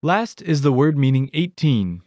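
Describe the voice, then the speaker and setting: A man's voice: mid-range voice, animated, measured pace, darkish tone, no room sound, clean studio sound. A man narrating a language lesson audiobook, announcing each word in a fixed scripted pattern.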